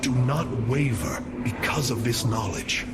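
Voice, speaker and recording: An actor playing a noble, resolute alien warrior leader in a sci-fi game, formal and commanding. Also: male voice, medium pitch, very animated, a little slow. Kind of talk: dramatic sci-fi game acting. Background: loud dramatic music.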